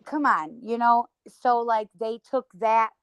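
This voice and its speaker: Woman, high-ish voice, emphatic casual livestream chatter, close dry sound. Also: measured pace, fairly bright tone, clean tone, no room sound, muffled recording. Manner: emphatic, conversational, peppered with "you know" and "girl".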